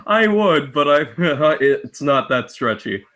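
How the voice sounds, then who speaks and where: A man's voice: a little high, big pitch swings, slow pace, a bit bright. A man chatting casually as a guest commentator, with a loose, joking way of talking. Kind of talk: casual chat.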